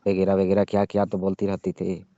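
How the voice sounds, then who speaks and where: A man's voice: low voice, completely flat delivery, a little fast, dark tone, dry recording. A young man in a casual, emotional phone conversation, pleading and insisting like a jilted lover. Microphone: phone call. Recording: dull lo-fi phone call recording.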